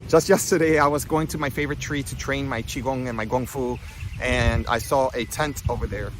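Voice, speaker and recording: A man giving an informal spiritual talk with personal stories, in an animated, conversational manner. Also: mid-range voice, fairly quick, a little hiss or hum. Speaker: spiritual healing coach and vlogger.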